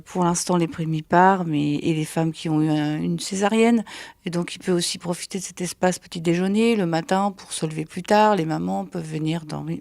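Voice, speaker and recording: Woman, lower-pitched, informative interview, hesitant phrasing, pauses, very dry close sound. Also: vocal fry, a little mellow, no echo. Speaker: hospital health manager. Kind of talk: informative interview, explaining services.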